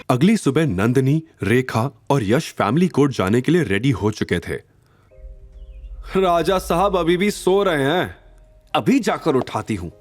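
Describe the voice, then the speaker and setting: A man tells a dramatic scripted story with very animated intonation. His delivery is theatrical and suspenseful, with music underneath. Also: mid-range voice. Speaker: audio drama narrator.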